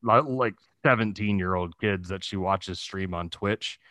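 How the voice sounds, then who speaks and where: A man's voice: low voice, unhurried, bright, forceful tone, no echo. A man in his thirties chatting casually and jokily as a podcast co-host, telling stories with loose, profane humor. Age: 30s. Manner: profane, jokey storytelling with frequent chuckling. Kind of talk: casual comedic chat.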